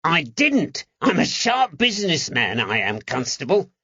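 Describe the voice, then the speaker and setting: A man's voice: on the high side, wildly swooping pitch, unhurried, sharp tone, breathy edge, dry recording, muffled recording, voice pushed up, exaggerated voice. A man voicing a snivelling, indignant Cockney moneylender in an audiobook, whining and pleading defensively. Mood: defensive, wheedling. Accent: London Cockney. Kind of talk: audiobook character acting.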